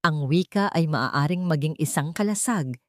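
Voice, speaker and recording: A woman playing a wise, respectful elderly grandmother in an audio story, speaking in a measured, solemn manner. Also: sits low, dry recording, clean studio sound, lightly acted. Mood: earnest, solemn.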